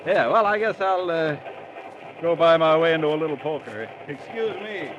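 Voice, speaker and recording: A man's voice: a little high, lively intonation, moderate pace, natural voice. An actor playing a nosy, over-familiar male train passenger in a 1940s radio drama, talking in a breezy, rambling way. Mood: flustered, backing off. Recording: muffled vintage radio recording, faint noise.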